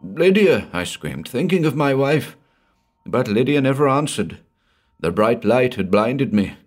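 A man voicing a big, strong man over sixty, hoarse and shaken with terror. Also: medium pitch, big pitch swings, a little slow, faint music behind, pitched above the performer's own voice. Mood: frantic. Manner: frantic, pleading.

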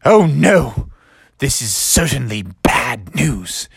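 A man voicing a steady father frog who speaks in a measured, solemn way. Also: mid-range voice, gravelly, slightly rough, no echo, studio quality, full character voice.

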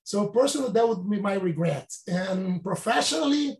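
A Brazilian-born professor in his late fifties whose first language is Portuguese, answering interview questions in halting, non-native phrasing with frequent restarts. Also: high voice, expressive, echoey room. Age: 50s.

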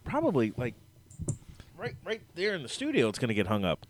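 A man hosting a casual podcast with his friends, crude and joking, a little hoarse. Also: mid-range voice, huge pitch swings, brisk, slight background noise. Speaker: podcast host.

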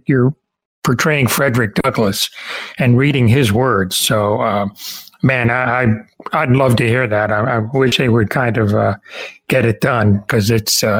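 Casual male interviewer, medium pitch. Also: poor audio.

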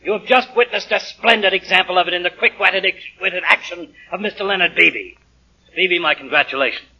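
Pompous, high, husky boss. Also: male voice, fairly quick, pushed and bright, muffled recording, exaggerated voice. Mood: pompous, formal. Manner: pompous, speechifying. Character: pompous, brisk company boss addressing his staff. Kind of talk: 1940s radio comedy acting.

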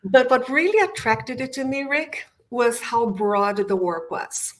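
A woman's voice: on the high side, lively intonation, a little slow. Brazilian woman telling her career story. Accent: Brazilian Portuguese. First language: Portuguese. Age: middle-aged. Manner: enthusiastic storyteller. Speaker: guest. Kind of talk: interview conversation. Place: home office.

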